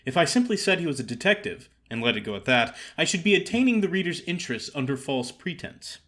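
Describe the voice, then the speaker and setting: A man reading a story aloud as theatrical audiobook narration, with a wry, expressive storytelling manner. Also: a little high, fast. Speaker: story reader.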